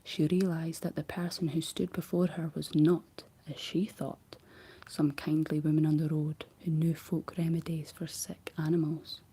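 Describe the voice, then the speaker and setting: A woman whispering a folk tale aloud in a soft ASMR style, stopping for playful asides. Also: low-pitched, husky. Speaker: storyteller. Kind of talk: whispered ASMR story reading.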